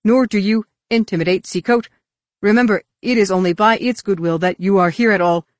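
A woman's voice: mid-range voice, a little slow, no echo, voice pushed up, lightly acted. A woman voicing a pompous, defensive male alien zealot in an audiobook, haughty and protesting. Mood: indignant.

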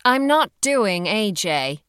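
A brash, loyal woman as a female narrator plays her speaks in blunt, punchy commands. Her pitch swings boldly and the sound is dry and close, in audiobook dialogue. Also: sing-song, slow pace, a bit bright, smooth, no echo. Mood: brash, wry.

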